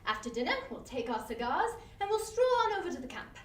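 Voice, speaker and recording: A woman's voice: high-pitched, sing-song, quick pace, far from the mic, a little hiss or hum. Woman reading as a genial young husband, echoey. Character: genial young English husband, casual and game.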